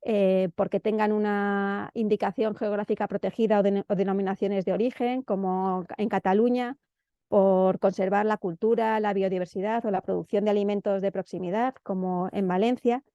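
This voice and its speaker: Mellow Spanish woman presenting, slightly muffled. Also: medium pitch, steady tone, normal speed, smooth, no room sound. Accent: central Spanish. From Madrid, Spain. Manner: hesitant, searching for words, repeating words for emphasis. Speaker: presenter of an urban planning guide. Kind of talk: informal spoken presentation. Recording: dull, lo-fi dry audio.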